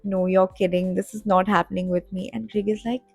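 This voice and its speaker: A young woman in her twenties chats straight to camera, rambling through her story with plenty of fillers and restarts. Her voice has a gravelly, fry-tinged edge, and the sound is dry and close. Soft music plays faintly behind her. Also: medium pitch, steady tone, fairly quick, no echo. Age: 20s. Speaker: content creator. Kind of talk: casual vlog talk to camera. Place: home in Dubai.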